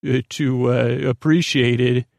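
Creaky-voiced man, rambling soothingly. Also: mid-range voice, fairly even intonation, darkish tone, no echo, studio quality. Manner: meandering, halting, lulling, goofy tangents. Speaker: podcast host. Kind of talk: rambling sleep podcast monologue.

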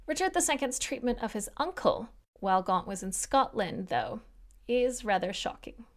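Woman narrating a history podcast. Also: fairly high pitch. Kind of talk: scripted narration with casual asides.

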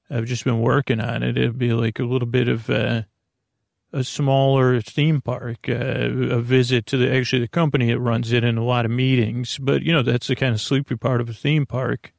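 A man delivers a meandering bedtime monologue as a podcast host. His voice is full of creak and sits at an ordinary male pitch. He halts, repeats himself and wanders back to where he left off, all in a very dry recording with no room sound. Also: no echo. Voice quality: gravelly, creaky voice. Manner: halting, repeats words, loses the thread and circles back.

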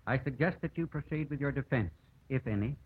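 An actor playing a stern, authoritative judge in a vintage radio drama, speaking formally and officially. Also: male voice, mid-range voice, expressive, a little fast, slightly rough. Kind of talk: 1940s-50s radio drama acting. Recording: tinny, slightly noisy old radio broadcast.

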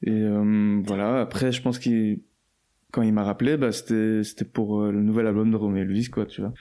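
A man's voice: fairly low pitch, little pitch movement, normal speed, dark tone. A man, chatting casually as an interview guest, loose and slangy with lots of fillers and restarts. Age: 20s. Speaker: guest musician.

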